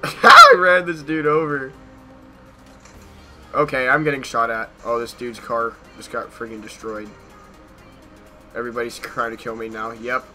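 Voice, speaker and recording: Bright, forceful young American man gaming. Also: mid-range voice, faint music behind. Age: young adult. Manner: excitable, repeats short phrases rapidly. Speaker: gaming YouTuber. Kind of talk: casual gameplay commentary. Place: small bedroom at home.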